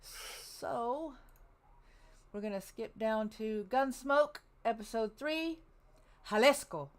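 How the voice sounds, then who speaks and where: A woman's voice: a little high, slow. A woman hosting a casual show, chatting off the cuff and laughing easily as she lines up old radio episodes. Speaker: podcast host. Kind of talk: casual chat.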